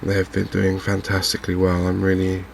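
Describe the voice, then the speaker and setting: Soft spoken gamer guy, deep gravelly voice, slow musing asmr commentary, noticeable noise behind him. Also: monotone. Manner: unhurried, hesitant, musing to himself. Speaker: gaming video host.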